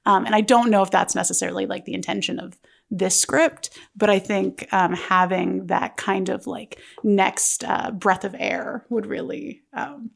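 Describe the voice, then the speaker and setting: A woman talking casually as a panelist in a script discussion, tentative and halting as she lays out her thoughts. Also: mid-range voice, vocal fry.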